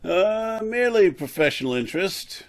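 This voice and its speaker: A man playing a blunt, haunted, hard-drinking professor in an old-time radio drama, formal and deliberate. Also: high-pitched, expressive. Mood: brusque.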